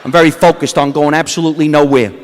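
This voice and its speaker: A stand-up comedian with a New Jersey accent, delivering a deadpan wisecracking set onstage. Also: male voice, on the high side, fast talker. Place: comedy awards stage. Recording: long hall reverb from a stage.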